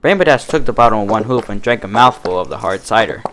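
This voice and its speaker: A man's voice: lowish voice, expressive, fast talker, a bit bright, slight background noise. A man reading a comic fan fiction story aloud as its narrator.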